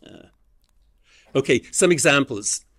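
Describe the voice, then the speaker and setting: A man giving an academic lecture as an education professor, explaining ideas in a measured way with frequent hesitant fillers. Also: mid-range voice, lively intonation, a bit bright.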